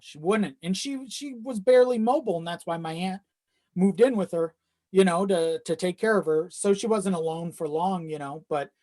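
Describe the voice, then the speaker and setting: Podcast guest for casual conversation: mid-pitched voice, self-deprecating, loose and profane. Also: darkish tone. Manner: informal, sweary, self-deprecating. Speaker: video editor.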